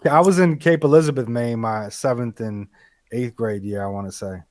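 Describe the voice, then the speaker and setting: A man's voice: mid-range voice, a little fast, a little mellow, dry recording, studio quality. A man hosting an online music show, talking in a friendly, easygoing way. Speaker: host. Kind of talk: online radio show chat.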